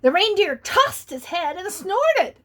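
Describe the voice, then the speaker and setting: A woman's voice: extremely high pitch, big pitch swings, slow, fairly bright tone. A woman reading a picture book aloud to young children, playful and asking her listeners questions.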